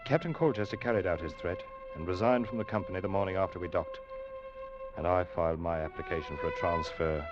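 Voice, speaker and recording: An actor playing a young ship's officer who narrates his tale in a measured, grave way, in 1940s radio drama style. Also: sits low, natural voice. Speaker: narrator and first mate Ned. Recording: dull old radio recording with music.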